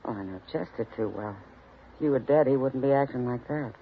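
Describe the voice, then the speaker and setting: An actress in a 1950s radio western playing a sharp, unflappable frontier woman with a dry, knowing manner. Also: very deep voice, a little fast, slight background noise. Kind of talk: 1950s radio drama acting. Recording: tinny old radio broadcast.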